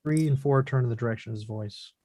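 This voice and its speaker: A man's voice: medium pitch, fast talker, mellow. A man reading out stage directions as the narrator of a scripted drama table read.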